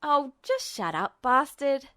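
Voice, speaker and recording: A woman's voice: high-pitched, wildly swooping pitch, takes it slowly, a bit bright, no echo, clean studio sound, full character voice. A woman voicing a scrappy, foul-mouthed young man with a brash, combative manner in an audiobook story. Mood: indignant. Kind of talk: audiobook character voice.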